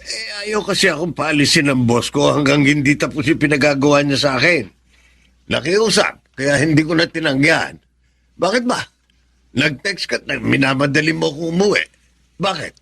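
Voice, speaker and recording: An actor playing a weary, grumbling middle-aged father and long-suffering husband with a raspy voice in a Tagalog radio drama. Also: on the high side, animated, normal speed, a bit bright, exaggerated voice. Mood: tired. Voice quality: gravelly, raspy.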